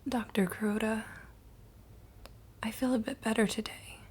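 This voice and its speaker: A woman playing a frail, troubled hospital patient in a horror manga dub, speaking in a weak, breathy whisper. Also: a little high, steady tone, moderate pace, a little mellow. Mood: weak, puzzled. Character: frail, whispering female patient tormented by long dreams.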